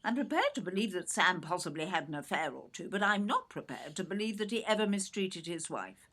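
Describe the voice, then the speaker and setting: A woman's voice: lowish voice, big pitch swings, brisk, fairly bright tone. An actress playing an older English mother in an audio play, indignant and brusque, condescending as she defends her son. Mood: scornful. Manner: clipped, brusque, condescending, calls listener "dear".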